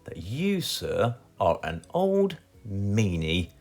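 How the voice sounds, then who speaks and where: A man with a British accent voicing a fat, wordy storybook wizard, halting and over-polite. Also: on the low side, wildly swooping pitch, darkish tone, faint music behind, full character voice. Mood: disapproving. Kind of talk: children's storybook character.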